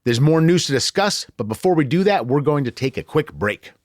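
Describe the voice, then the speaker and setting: A man's voice: fairly high pitch, expressive, brisk. An American man hosting a podcast in a chatty, conversational, playfully self-deprecating way. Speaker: podcast host.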